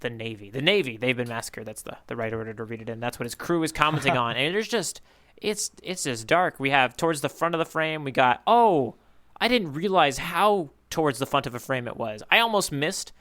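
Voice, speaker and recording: Man, clear and bright voice, podcast co-host, casual chat, playful rambling delivery. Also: medium pitch, expressive, fairly quick. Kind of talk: casual banter about manga.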